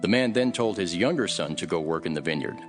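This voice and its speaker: An actor playing a calm, authoritative male teacher and prophet in a dramatized scripture reading. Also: sits low, quick pace, music in the background. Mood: instructive.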